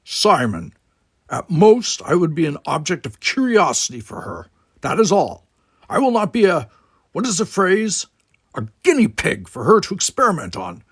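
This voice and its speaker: A man's voice: on the high side, huge pitch swings, normal speed, breathy edge, dry recording, voice pushed up, exaggerated voice. A man voicing a stern, proud young alien warrior cadet who speaks in a stiff, formal, emphatic way. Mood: firm, defiant.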